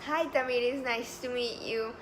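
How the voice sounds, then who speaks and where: A woman's voice: on the high side, fairly even intonation, normal speed, noisy background. A woman in her twenties from Colombia, answering interview questions in an eager, talkative way full of fillers. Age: 20s. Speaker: job candidate. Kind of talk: mock job interview.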